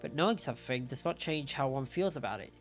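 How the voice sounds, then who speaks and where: A man of average pitch gives casual live commentary on a game, moving between chat and reading dialogue aloud. The audio is slightly dull, with music faintly behind him. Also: lively intonation, fast talker. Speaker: game streamer. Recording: dull, band-limited stream audio.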